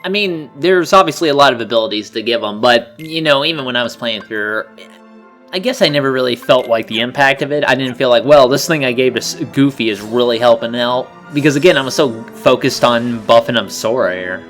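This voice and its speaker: Man in casual game commentary, mid pitch. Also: brisk, a bit bright. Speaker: co-commentator on a video game playthrough. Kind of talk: casual chat. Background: game music.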